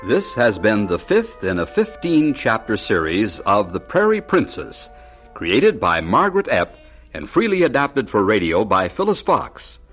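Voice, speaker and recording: Casting: male series announcer, lowish pitch, hugely varied intonation, scripted, over faint music. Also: fairly bright tone. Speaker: radio drama announcer. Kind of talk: scripted radio outro narration.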